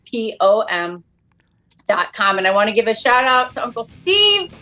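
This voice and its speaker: High-voiced, high-energy joking woman. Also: very animated, normal speed, bright, forceful tone, lo-fi sound, faint music behind. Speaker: guest comedian and author. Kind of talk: casual comic podcast chat.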